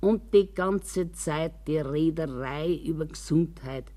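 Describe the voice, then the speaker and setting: A woman's voice: sits low, a little mellow. An older actress playing a grumbling, wary old woman from a care home in a radio monologue, with a Bavarian accent and a rambling, complaining, confiding way of talking. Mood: rambling, plaintive. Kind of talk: radio drama monologue in colloquial speech.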